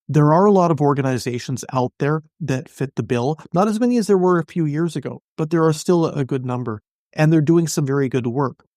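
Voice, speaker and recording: A man giving explanatory interview answers as an expert podcast guest, articulate, measured and businesslike. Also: mid-range voice, a little mellow, no echo, studio quality. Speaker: podcast guest, industry analyst.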